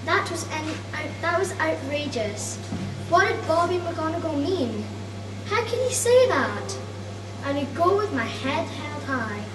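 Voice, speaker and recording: A young schoolgirl of about nine reading a children's book aloud to an audience, carefully, with small stumbles. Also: high voice. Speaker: schoolgirl reader. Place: cafe event room, indoors. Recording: echoey room, distant, noisy with hum.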